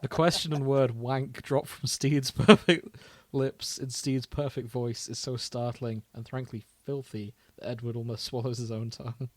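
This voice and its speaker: A man's voice: medium pitch, fairly even intonation, normal speed, few pauses, no room sound. A man co-hosting a casual podcast, bantering and reading fan fiction aloud in a jokey way, often laughing. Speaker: podcast co-host.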